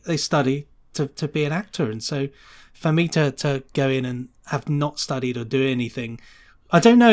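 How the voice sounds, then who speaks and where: A man chatting casually and enthusiastically as an interview guest, rambling through his answers in a loose, conversational way. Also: on the high side. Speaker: podcast guest, sound designer.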